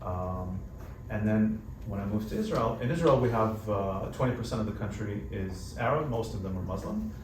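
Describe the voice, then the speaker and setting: A man who grew up in the United States, speaking on a panel in a relaxed, conversational way with lots of "you know" fillers. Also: on the low side, normal speed, few pauses. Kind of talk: panel discussion. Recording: roomy hall, distant mic, background noise.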